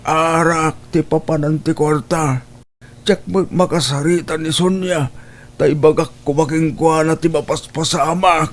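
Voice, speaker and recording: An actor playing an ailing, long-suffering old father in an Ilocano audio drama, plaintive and gently reproachful, with an Ilocano accent. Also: fairly high pitch, steady tone, measured pace. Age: older.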